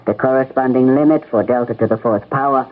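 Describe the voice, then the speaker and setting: An actor playing a dutiful, formal assistant reading back notes in a radio drama. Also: male voice, mid-range voice, brisk, lightly acted. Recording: tinny narrow-band old radio.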